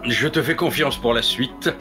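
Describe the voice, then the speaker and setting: A man playing a character in a tabletop roleplaying game, describing his actions in a measured way. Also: mid-range voice, over music. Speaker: player.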